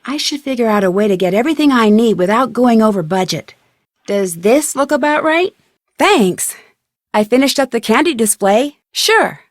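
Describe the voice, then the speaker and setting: An actress playing a polite, inquisitive young woman detective in a video game, speaking in a clear, friendly, conversational way. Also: fairly high pitch, lively intonation, normal speed, no echo, studio quality, natural voice. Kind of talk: video game dialogue acting, isolated conversational lines.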